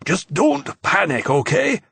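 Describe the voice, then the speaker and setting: A man's voice: high voice, very animated, takes it slowly, creaky, fairly bright tone, breathy edge, no echo, voice pushed up, heavily acted. A man reading an audiobook, voicing an urgent, exasperated Scottish lawyer with a strong brogue. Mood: tense. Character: stressed Scottish lawyer, middle-aged man.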